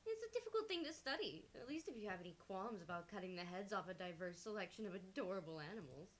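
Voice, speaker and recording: Playful woman with very animated intonation. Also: mid-range voice, fast. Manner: playful, casual. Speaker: game streamer. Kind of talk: playful read-aloud of a visual novel with asides. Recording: faint background hiss.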